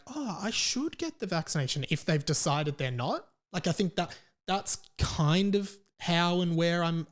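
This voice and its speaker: A man with an Australian accent giving a casual opinion monologue as a podcast host, hesitant and often questioning himself as he rambles. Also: a little high.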